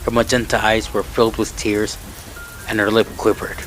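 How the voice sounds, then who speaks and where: Casting: man with a fairly low voice to narrate fan fiction over a music bed. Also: level tone, moderate pace, low-quality recording. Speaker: story narrator.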